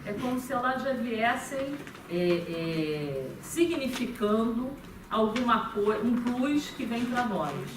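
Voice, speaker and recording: A woman's voice: a little high, animated, slow. Brazilian woman speaking in an echoey room. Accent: Brazilian Portuguese. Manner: thoughtful, many hesitant fillers and restarts. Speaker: conference speaker. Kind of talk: academic talk at a meeting. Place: meeting room. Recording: echoey room, far from the mic, noisy.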